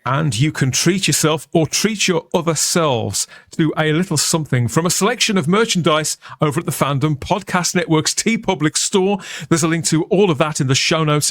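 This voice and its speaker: A man with a fairly high voice reads a scripted promo as a podcast presenter. The recording is dry, with no room sound. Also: fairly bright tone, no room sound.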